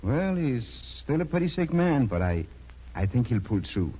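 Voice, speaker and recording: An actor playing a kindly, shrewd small-town doctor in a 1930s radio drama. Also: male voice, medium pitch, very animated, moderate pace, husky. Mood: calm. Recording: muffled, noisy 1930s radio recording.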